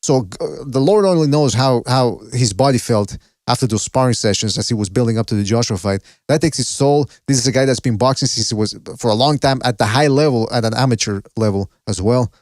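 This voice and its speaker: A man hosting a boxing podcast, chatting casually and thinking out loud with some swearing. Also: mid-range voice, fairly quick, no room sound, clean studio sound. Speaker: podcast host.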